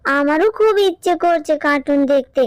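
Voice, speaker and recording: A woman plays a little cartoon animal girl with a very high, childlike voice. She is whiny and insistent, pleading and sulking in a dry, close recording. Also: normal speed, no echo, natural voice. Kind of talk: Bengali children's cartoon voice acting.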